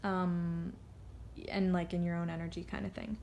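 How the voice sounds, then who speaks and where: Rambling woman with a creaky voice. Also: on the low side, level tone, darkish tone, slight background noise. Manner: rambling, halting, goes off on tangents. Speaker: tarot card reader. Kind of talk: casual, unscripted intuitive card reading.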